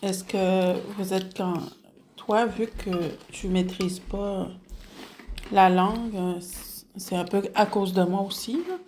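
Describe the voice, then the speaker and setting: Woman interviewer, quebec french accent, gentle slow questions, faint noise. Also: mid-range voice, fairly even intonation. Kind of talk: casual interview.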